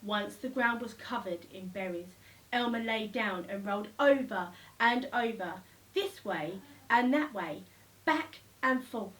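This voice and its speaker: A woman reading a children's storybook aloud, with expressive, deliberate phrasing and emphatic repetition. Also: medium pitch. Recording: slightly distant microphone.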